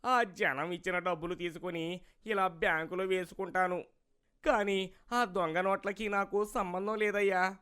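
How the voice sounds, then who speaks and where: A man's voice: high-pitched, steady tone, measured pace, bright, forceful tone, clean tone. A man voicing a greedy, wheedling village vegetable seller in a cartoon moral story, with a plaintive manner. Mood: panicked, pleading. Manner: rustic, colloquial, plaintive way of talking.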